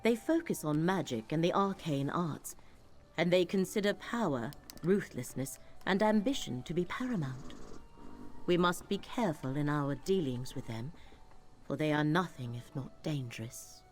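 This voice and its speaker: A solemn, mystical woman companion from a fantasy game. Her voice sits a little low and moves expressively as she speaks in formal, cryptic phrases about fate. Faint music plays behind her. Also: lively intonation, moderate pace. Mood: grave, explaining. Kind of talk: fantasy video game acting.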